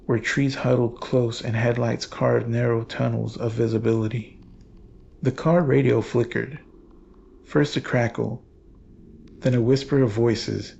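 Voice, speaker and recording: A man narrating a scripted horror story in a solemn, measured, portentous manner with dramatic pauses. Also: mid-range voice, gravelly, darkish tone, muffled recording, slight background noise.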